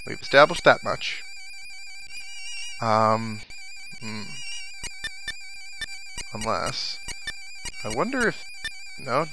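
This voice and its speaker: A man's voice: mid-range voice, vocal fry, low-quality recording. A man giving casual video game commentary, musing to himself and reading bits of the story aloud. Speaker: let's play commentator. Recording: game music behind the voice. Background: video game music and sound effects.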